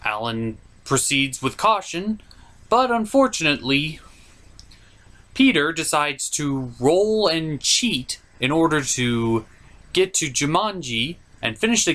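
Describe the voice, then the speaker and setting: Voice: medium pitch, sing-song, takes it slowly, a bit bright. A reviewer giving a scripted comedic movie recap in a sarcastic, mock-exasperated way. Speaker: host reviewer.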